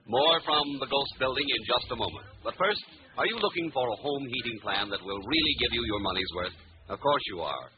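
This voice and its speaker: A man with an American accent reading as an announcer and pitchman in 1940s radio advertising style, on an old recording. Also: animated, fairly quick, highs cut off, background noise.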